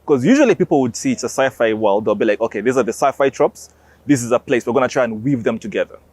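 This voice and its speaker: A man's voice: medium pitch, expressive, quick pace, a little mellow. A man giving emphatic, explanatory interview answers as an animation creator.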